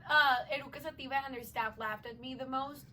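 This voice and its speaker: A teenage girl jokes and chimes in during a travel vlog. Her voice is high and bright, and she sounds playful. Faint ambience sits behind her. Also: fairly even intonation, a little fast, a little hiss or hum. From Mexico. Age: teens. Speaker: band member vlogging. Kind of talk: casual vlog chat.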